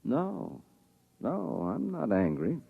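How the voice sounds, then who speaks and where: Grumpy, sardonic husband on tinny radio. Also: male voice, medium pitch, wildly swooping pitch, slow pace, gravelly, loud music behind the voice, full character voice. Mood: grudging, resigned. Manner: dry, sardonic, grudging. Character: grumpy, sarcastic husband, a security policeman. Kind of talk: 1950s radio drama acting. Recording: tinny, band-limited old radio recording.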